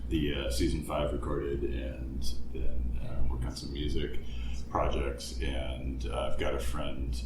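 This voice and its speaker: A man with a very low voice chats casually with his castmates, hesitant and full of fillers, quick to laugh. He sits away from the mic in an echoey room, and steady noise hangs under his speech. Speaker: podcast voice actor guest. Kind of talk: casual group chat. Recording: echoey room from far off, noisy.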